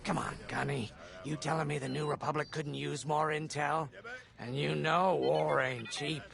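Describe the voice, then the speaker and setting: An actor playing a roguish, money-minded ex-rebel starfighter pilot in a video game, with a folksy, teasing drawl. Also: male voice, fairly high pitch, little pitch movement, normal speed, creaky, crowd noise. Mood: sly, teasing. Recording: loud music behind.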